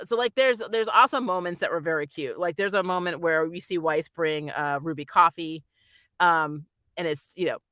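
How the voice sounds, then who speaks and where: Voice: mid-range voice, sharp tone, clean tone, no echo, clean studio sound. A reviewer chatting casually and animatedly about a show, with a giggly manner, often acting out what characters say. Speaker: reviewer and channel host. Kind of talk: casual fan review commentary.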